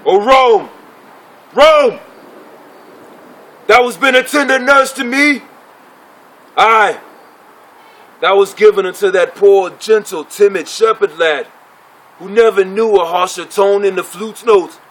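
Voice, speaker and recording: A proud, embittered gladiator chief played by a man with a notably high male voice. He speaks in formal, declamatory stage oratory, setting out his phrases with deliberate pauses as he addresses his fellow fighters. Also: a bit bright. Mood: bitter, rousing. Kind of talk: theatrical dramatic monologue.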